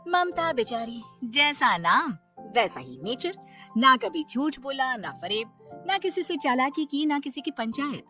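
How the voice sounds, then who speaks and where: A woman's voice: sits high, big pitch swings, measured pace, sharp tone, faint music behind. A woman narrator telling a cartoon moral tale in scripted storytelling style.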